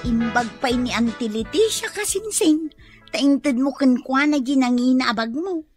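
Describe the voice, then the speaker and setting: Young Ilocano niece, cheeky, excitable, very high-pitched, radio drama, light music. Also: female voice, moderate pace. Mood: remarking.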